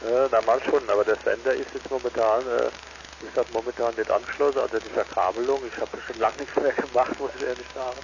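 A man's voice: mid-range voice, steady tone, fast talker. A man chatting casually as an amateur radio operator over a two-way transmission. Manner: tags statements with "ne?". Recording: dull, noisy ham radio transmission.

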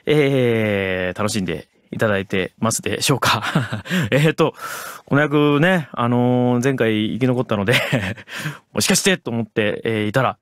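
Dry-recorded man, medium pitch, casual. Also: measured pace, dry recording. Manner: polite and chuckling, hesitant phrasing. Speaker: voice actor. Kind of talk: casual message to fans.

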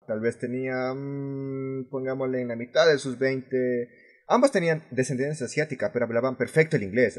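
A man's voice: medium pitch, natural voice. Man acting an angry, sarcastic Latin American ranter. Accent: Latin American Spanish. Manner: sarcastic, confrontational, swearing, emphatic. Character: furious, foul-mouthed male expat vlogger ranting about rude tourists. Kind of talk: fan dub of a vlogger's angry rant.

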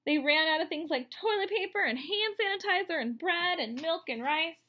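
A woman in her thirties reading a picture book aloud to children, explaining as she goes in an expressive way. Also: very high voice, moderate pace. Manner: expressive, explanatory, addressing young listeners. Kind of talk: read-aloud storytelling for children with asides. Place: room at home, indoors.

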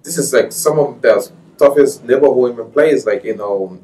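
A man's voice: mid-range voice, moderate pace, dark tone, far from the mic, low-quality recording. A man from Liberia, a guest chatting casually and agreeably in a studio interview. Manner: agreeable, frequent short affirmations. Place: small TV studio.

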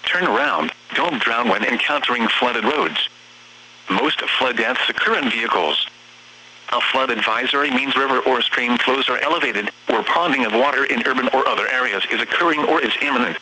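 Synthetic male weather radio voice, very sharp. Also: mid-range voice, expressive, slightly rough, low-quality recording. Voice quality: synthetic text-to-speech voice. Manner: formal, measured announcing. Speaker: automated weather radio announcer. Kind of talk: scripted emergency weather bulletin. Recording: buzzy, humming weather radio broadcast.

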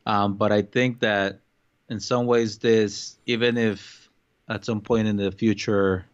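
A man from Colombia co-hosting a podcast, speaking in a measured, reflective way in casual chat. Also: fairly low pitch, flat delivery, clear, resonant tone, no room sound. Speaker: podcast co-host. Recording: dull lo-fi sound.

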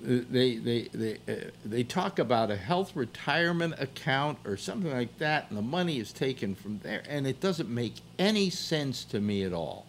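Older man with lively, swooping pitch. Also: slight background noise. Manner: halting, repeats and stammers, teasing. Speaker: talk show host. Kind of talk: rambling casual call-in chat. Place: home room with bookshelves, indoors.

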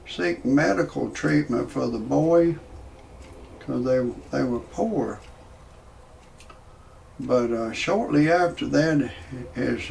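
A man, telling a rambling parody comedy monologue in a halting way, full of "uh" fillers and restarts. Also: medium pitch, a little mellow, slight background noise. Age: 60s. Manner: slow, halting delivery with many "uh" fillers and restarts; gravelly voice. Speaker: comedy storyteller.

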